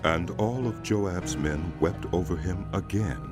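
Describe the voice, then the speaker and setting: A man's voice: low-pitched, unhurried, over loud music. A man narrating a dramatized scripture as scripted storytelling.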